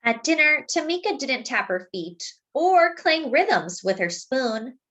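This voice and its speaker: A woman reading a children's story aloud expressively, phrasing deliberately as if to young listeners. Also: sits high, very animated, a bit bright. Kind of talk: expressive picture-book read-aloud with asides to young listeners.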